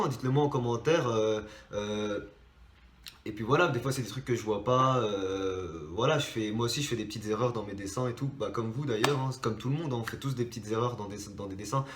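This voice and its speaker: A man's voice: mid-range voice, reverberant. A young Frenchman hosting a drawing channel, talking casually to his subscribers with a stuffy nose from a cold and lots of hesitant restarts. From France. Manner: rambling, many hesitations and restarts.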